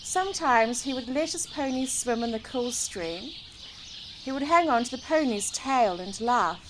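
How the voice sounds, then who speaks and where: A high-pitched woman narrates a children's storybook at an unhurried pace. Her delivery is lively and varied, as if speaking to small children. Birdsong and noticeable outdoor noise sit behind her voice. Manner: expressive, addressing young listeners. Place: outdoors in a garden. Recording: outdoor recording with clear background noise, birdsong.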